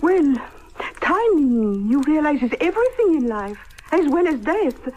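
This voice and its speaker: A woman's voice: high voice, big pitch swings, unhurried, warm tone. An actress playing a grand, calculating society lady, affected and insinuating, in old-time radio comedy style. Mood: sly, insinuating. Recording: noisy old radio drama recording.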